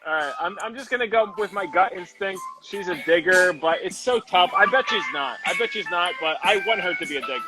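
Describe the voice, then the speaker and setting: Easygoing high-voiced co-host, music behind. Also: male voice, lively intonation, fairly quick, hardly any pauses, poor audio. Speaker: co-host, game contestant. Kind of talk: casual comedic chat.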